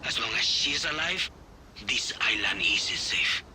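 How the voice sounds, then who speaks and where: An actor playing an earnest rebel elder in a video game. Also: male voice, fairly low pitch, lively intonation, measured pace, pushed and bright, slight background noise, exaggerated voice. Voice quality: earnest, grave.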